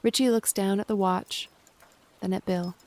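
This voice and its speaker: A woman's voice: mid-range voice, steady tone, moderate pace, darkish tone. A woman reading scripted stage directions as the narrator of an audio drama.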